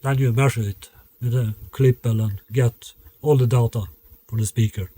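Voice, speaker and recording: A man who designs loudspeakers explains his work in a halting, matter-of-fact way, stopping often to find his words. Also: mid-range voice, fairly even intonation, no echo.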